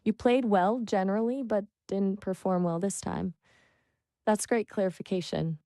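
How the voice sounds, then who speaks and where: A woman coaching English conversation, patient and full of praise, explaining word choices clearly. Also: medium pitch, steady tone, moderate pace, dark tone, no room sound, studio quality. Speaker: English tutor. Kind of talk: encouraging language coaching.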